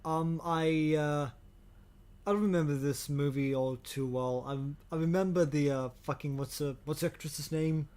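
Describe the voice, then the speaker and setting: A man co-hosting a casual podcast about movies, talking in halting, hesitant phrases with casual swearing. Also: on the high side, steady tone, darkish tone, clear, resonant tone. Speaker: podcast co-host.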